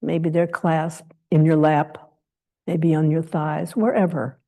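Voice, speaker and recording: A woman guides a meditation in a mellow, fairly low voice. She gives gentle instructions in short phrases and leaves long pauses between them. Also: level tone. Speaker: meditation teacher leading a guided meditation.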